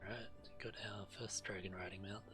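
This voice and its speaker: A man's voice: fairly low pitch, steady tone, moderate pace, music in the background. A man streaming a video game, commenting on play and reading quest text aloud.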